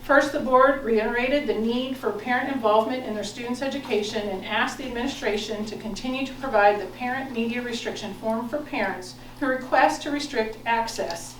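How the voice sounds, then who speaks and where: American female official, higher-pitched, formal read statement, distant and very roomy meeting recording with faint noise. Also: fairly quick, hardly any pauses. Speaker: school board president. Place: indoor board of education meeting room.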